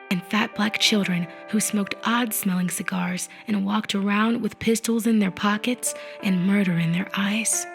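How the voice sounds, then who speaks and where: A woman narrates a literary audiobook in a deliberate, expressive storytelling manner, with phrase endings that drop to a hush. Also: medium pitch. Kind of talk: dramatic literary audiobook reading. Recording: musical effects mixed under the voice. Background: music and effects.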